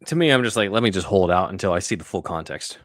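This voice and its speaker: A man's voice: low-pitched, animated, fast talker, no room sound, studio quality. A man hosting a podcast in a casual, conversational style. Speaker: podcast host.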